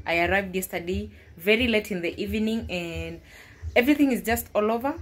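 A young woman, chatting casually to viewers as she tidies her bedroom, recorded on a phone. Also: mid-range voice. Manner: chatty, talks viewers through her tidying with small restarts. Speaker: vlogger. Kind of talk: casual home vlog narration. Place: bedroom at home.

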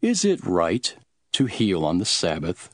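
Solemn male teacher-prophet, low voice, very varied intonation, earnest preaching, scripture drama. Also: wildly swooping pitch, no echo, clean studio sound, heavily acted. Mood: earnest teaching. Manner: measured, emphatic, preaching. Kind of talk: dramatized scripture reading over music. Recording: music behind the voice.

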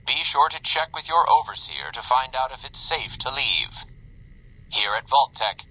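An actor playing an upbeat, smug automated company announcer in a retro promotional style, polished and congratulatory, through an old radio filter. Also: male voice, medium pitch, big pitch swings, unhurried, bright, forceful tone, full character voice, rough recording. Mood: cheery, grandiose. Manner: cheery, polished, theatrically congratulatory.

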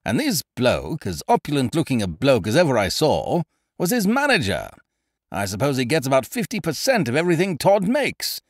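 A man voicing a loud, hearty, scheming young gentleman adventurer who blusters expansively. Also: mid-range voice, wildly swooping pitch, normal speed, pitched above the performer's own voice.

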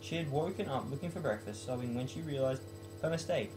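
Young man, casual and low-key. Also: a little fast, music in the background, poor audio. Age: late teens to young adult. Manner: self-mocking, jokey. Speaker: student vlogger. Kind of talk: casual talk and poem reading. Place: small bedroom, indoors.

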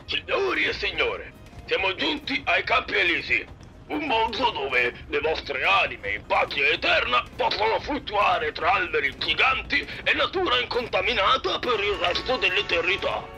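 A man's voice: sits high, sing-song, a little slow, creaky, bright, forceful tone, soft background music, exaggerated voice. A man playing the formal announcer of a train to the afterlife in an audio drama, with theatrical delivery. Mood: ceremonious.

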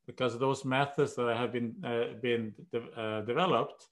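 A man in his sixties with a Swedish accent, a professor answering questions on a video call in a measured, hesitant way with many pauses and "uh"s. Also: mid-range voice, fairly even intonation. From Sweden. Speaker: guest professor. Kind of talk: conversational interview. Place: home room.

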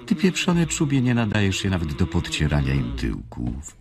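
Angry farm drifter, super deep, scornful, swingy delivery, radio play. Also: male voice, wildly swooping pitch, normal speed, a loud crowd, exaggerated voice. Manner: harsh, mocking, insulting. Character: scornful, hot-tempered male migrant worker. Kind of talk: radio drama acting.

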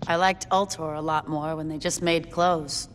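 A woman's voice: sits low, steady tone, moderate pace, heavy background noise, natural voice. A woman acting as a laid-back, wry young gang member in a video game, casual and dry.

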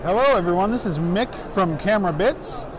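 A middle-aged man at a trade show booth explaining his company's photo software, talking steadily with frequent "um" fillers. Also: high-pitched, animated. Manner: brisk explaining, many "um" fillers. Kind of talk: trade show product pitch. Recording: noisy trade show floor. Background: crowd chatter of the show floor.

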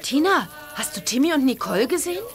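A spirited young witch girl in a children's radio play. The voice is high and youthful, with a plucky, confident delivery. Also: sing-song, fast talker, soft background music. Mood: lively, concerned. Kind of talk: children's audio drama acting.

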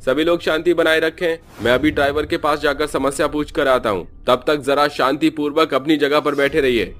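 A man voicing an officious, easily frightened male ticket inspector in a cartoon, formal and excitable. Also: a little high, moderate pace, pitched above the performer's own voice, natural voice. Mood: official. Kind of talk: cartoon acting.

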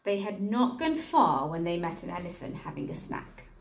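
Animated woman reading a storybook. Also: on the low side, normal speed, vocal fry, very noisy. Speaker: teacher reading to pupils. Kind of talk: expressive storybook narration. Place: small room indoors, at a table. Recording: roomy home recording.

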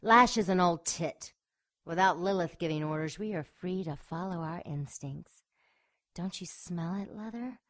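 A woman's voice: lowish voice, measured pace. A woman playing a seductive, predatory winged succubus in an audio drama, with a sultry, taunting manner. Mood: defiant, scornful.

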